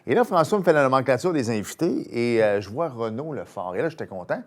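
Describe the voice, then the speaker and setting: A man with a Québécois French accent hosting a casual studio chat, telling stories with animated loud bursts. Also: mid-range voice, fast. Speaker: host.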